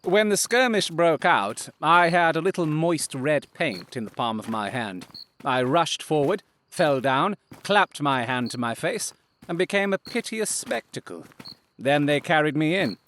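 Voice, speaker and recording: A man's voice: on the high side, expressive, measured pace, a bit bright. An actor playing a confident, theatrical detective in an audio drama, precise and self-satisfied in how he speaks. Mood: self-assured, explanatory.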